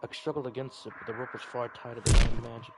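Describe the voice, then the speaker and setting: A man's voice: mid-range voice, steady tone, very fast, heavy background noise. A man reading a visual novel game aloud in a dramatic way, with offhand reactions of his own. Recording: game music behind the voice. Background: game music.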